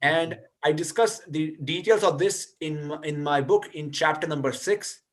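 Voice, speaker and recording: A man's voice: fairly high pitch, unhurried. A young man, a guest speaker on a video call, explaining ideas to an audience with frequent hesitant restarts. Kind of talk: lecture and answering audience questions.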